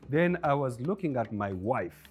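A man in his thirties with a Ugandan English accent, a film producer talking as a guest in a studio interview, lively and acting out the voices he quotes. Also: mid-range voice, moderate pace, soft background music. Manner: animated storyteller, acts out quoted voices with laughs. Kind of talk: interview conversation. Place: bright indoor studio set with armchairs.

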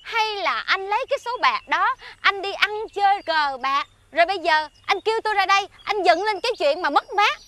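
A woman acts out a suspicious, indignant village wife in folk comedy. She fires off accusing questions quickly in an extremely high, bright voice, over some background noise. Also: expressive, full character voice. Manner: sharp, accusing, interrogating. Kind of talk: theatrical folk comedy acting.

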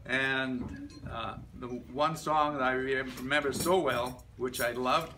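An older man reads a prepared reminiscence aloud to a group, halting between short phrases. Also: mid-range voice, fairly even intonation. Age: older, about 70s to 80s. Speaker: club member giving a life-story speech. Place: medium-sized indoor meeting room. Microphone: camera a few metres away. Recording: distant room mic with background noise.

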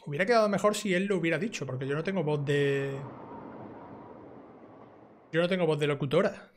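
A man's voice: fairly high pitch, a little fast, slight background noise. A young man with an accent from Spain, chatting casually through a let's play and reading game dialogue aloud in an informal way. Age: young adult. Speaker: gaming YouTuber. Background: video game music.

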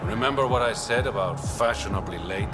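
An actor playing a smooth, wry, roguish smuggler in a space video game, with a teasing, self-mocking manner. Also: male voice, on the low side, a little fast, lightly acted. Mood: wry, suave. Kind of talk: video game dialogue acting. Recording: music behind the voice.

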